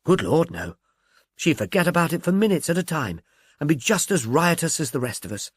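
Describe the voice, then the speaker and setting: A man's voice: on the high side, big pitch swings, brisk, breathy edge, no room sound, clean studio sound, voice pushed up. A man voicing an agreeable, candid young film actress with a breezy, gossipy manner in an audiobook. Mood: breezy, thoughtful.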